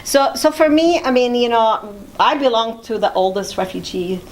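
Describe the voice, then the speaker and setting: A woman's voice: on the high side, lively intonation, moderate pace, low-quality recording. A middle-aged woman from Jordan, talking conversationally as an interview guest about her own life. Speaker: interview guest, immigration attorney.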